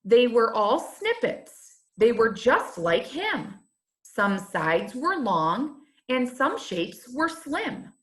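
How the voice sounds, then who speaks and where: A woman reading a rhyming children's storybook aloud, in a measured and expressive teacher's manner. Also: fairly high pitch.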